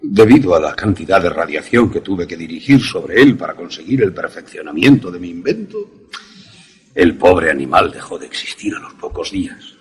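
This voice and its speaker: Older male professor for suspense radio drama, man acting: very husky, animated intonation, didactic, obsessive inventor. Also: sits low, full character voice. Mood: troubled, rueful. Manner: didactic, deliberate, emphatic explanations.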